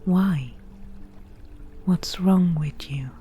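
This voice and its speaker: A woman playing a wry, teasing forest witch in a softly whispered ASMR roleplay, with dry, unhurried delivery. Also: fairly low pitch, soft background music. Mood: curious, mocking.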